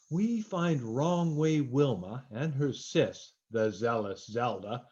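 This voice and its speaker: A man's voice: medium pitch, a little mellow. A man playing a folksy, hammy old-time radio announcer, on a dull lo-fi recording. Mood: arch, storytelling. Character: genial folksy male radio announcer plugging toffees. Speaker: announcer and narrator.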